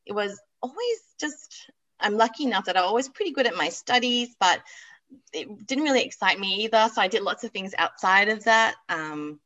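Bright-voiced woman from Malaysia. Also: medium pitch. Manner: chatty, laughing often, with filler ums. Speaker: guest, activewear business founder. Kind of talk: podcast interview chat.

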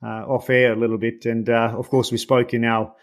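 A man's voice: mid-range voice, completely flat delivery. Bosnian-born man hosting a podcast. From Bosnia and Herzegovina. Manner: measured, hesitant with many fillers when unscripted. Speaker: podcast host. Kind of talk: scripted guest introduction and interview.

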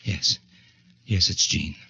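An actor playing a guilty, desperate man who soothes his sweetheart, in forceful, husky 1940s radio drama style. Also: slow. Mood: guilty, defensive. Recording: muffled 1940s radio recording.

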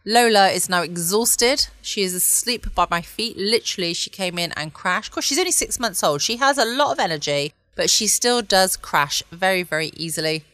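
A woman's voice: medium pitch, animated, moderate pace, pushed and bright. A woman hosting a bedtime podcast from home in an informal, rambling, chatty way. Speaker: podcast host. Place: at home, close dry recording.

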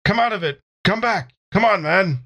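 A man's voice: sits high, expressive, normal speed, fairly bright tone. Wry man bantering at the game table. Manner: wry, joking. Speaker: player. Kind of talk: casual tabletop roleplay banter.

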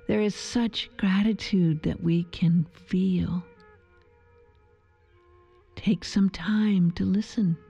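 A woman leading a guided meditation in a soft, soothing, gentle voice, with poetic phrasing. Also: fairly low pitch, creaky, slightly rough, lo-fi sound. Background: soft music.

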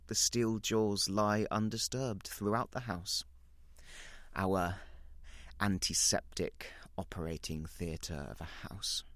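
A man's voice: sits low, fairly bright tone, no echo, clean studio sound. A man reading a dramatic first-person story as a guilt-ridden, unravelling, well-off narrator, confessional and intense in short clipped phrases.

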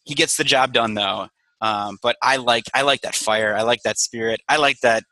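Bright, upbeat Wisconsin-raised man. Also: mid-range voice, moderate pace, no echo, low-quality recording. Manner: energetic, candid, swears casually. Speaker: brewery owner. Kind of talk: casual video call chat. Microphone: video call.

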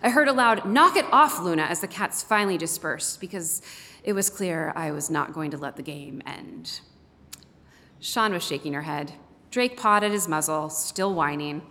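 A woman's voice: low-pitched, animated, normal speed, pushed and bright. A woman reading a story aloud expressively, with dramatic emphasis, as a guest reader. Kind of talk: expressive read-aloud storytelling in first person as an animal. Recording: long hall reverb.

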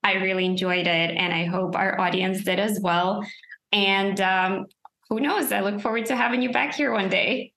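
A woman's voice: medium pitch, fairly even intonation, normal speed, vocal fry, fairly bright tone, roomy sound. A woman hosting a podcast, upbeat and encouraging as she asks questions. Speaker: podcast host. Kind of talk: casual interview chat.

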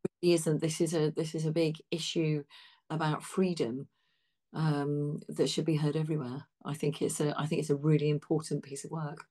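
Deep-voiced woman guest, emphatic and reflective. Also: flat delivery, normal speed, dark tone. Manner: emphatic, repeats phrases. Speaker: interview guest, theatre practitioner. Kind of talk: conversational reflection.

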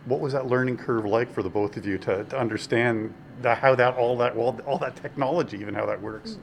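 A man's voice: mid-range voice, brisk, creaky, a little hiss or hum. A man hosting a local podcast, reading his narration in a wry, playful way and putting questions to guests. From Ontario, Canada. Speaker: podcast host. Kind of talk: scripted podcast narration and interviewing.

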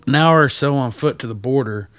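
An actor playing a reclusive, rough-mannered ex-addict loner with a wry, folksy way of talking in a radio drama. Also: male voice, medium pitch, a little fast, a bit bright, natural voice. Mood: guarded, wry. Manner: folksy, plain-spoken, wry.

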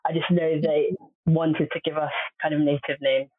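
A woman guest talks casually, pausing often on fillers. Her voice sounds thin and phone-like, with no room around it. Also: low voice, level tone, no echo. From family from southern Nigeria. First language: Obolo. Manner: hesitant, many fillers. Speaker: podcast guest. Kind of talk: casual interview chat. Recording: dry, phone-like lo-fi audio.

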